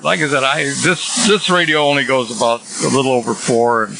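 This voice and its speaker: A man talks casually over two-way radio, his voice full of creak and fry. Clear static noise sits around the voice throughout. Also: mid-range voice, fairly even intonation, fairly quick. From United States. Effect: sideband radio transmission. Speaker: amateur radio operator. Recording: noisy sideband radio with static.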